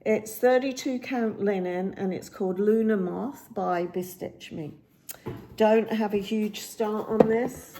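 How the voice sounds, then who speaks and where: A woman with a slightly croaky voice chats casually about her cross stitch projects, rambling with many ums and restarts, filmed on a phone at home. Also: mid-range voice, slow pace, dark tone, slight background noise. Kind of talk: casual show-and-tell chat. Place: indoors at home by a window. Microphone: phone camera.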